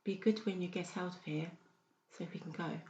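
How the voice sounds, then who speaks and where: A woman playing an anxious, loving mother in her seventies in an audiobook drama, timid and gentle. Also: lowish voice, moderate pace, lightly acted. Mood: anxious, tender. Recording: muffled, echoey, noisy room recording.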